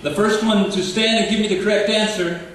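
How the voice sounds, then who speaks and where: Guest preacher, male, playful, short phrases for interpreter; boomy distant church sound. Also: steady tone, fairly quick, fairly bright tone, slight background noise. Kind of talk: sermon with interpreter. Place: church sanctuary. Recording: echoey church hall from far off.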